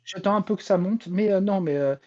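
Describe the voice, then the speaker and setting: Podcast panelist, male, soft-edged mellow tone, emphatic, muffled lo-fi audio. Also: sits high, a little fast. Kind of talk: casual chat. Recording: dull, lo-fi voice audio.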